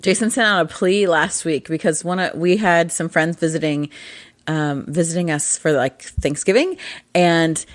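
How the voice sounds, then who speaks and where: A woman co-hosts a casual podcast with a husky, somewhat low voice. She rambles in a chatty way and laughs often. The sound is dry and close. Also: moderate pace, no room sound. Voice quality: husky morning voice. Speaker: podcast co-host. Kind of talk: casual chat.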